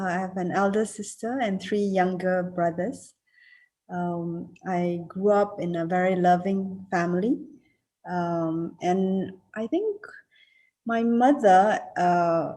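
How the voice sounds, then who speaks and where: A woman in her fifties from Singapore, giving a reunion speech over a video call and answering questions, halting with many fillers and restarts. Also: on the low side, warm tone. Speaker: keynote speaker, alumna.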